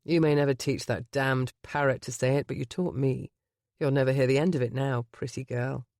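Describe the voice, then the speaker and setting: A woman reading an audiobook, voicing a grown man, a husband whose low husky voice sounds tender and wry. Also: fairly even intonation, fairly quick, a little mellow, dry recording, studio quality. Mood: affectionate. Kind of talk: audiobook romance character voice.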